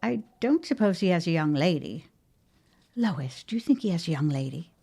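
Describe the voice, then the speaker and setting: Lively, chatty shopkeeper mother. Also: lowish voice, moderate pace, husky. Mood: nosy, teasing. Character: chatty, fond, nosy middle-aged shopkeeper mother. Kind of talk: period audio drama acting.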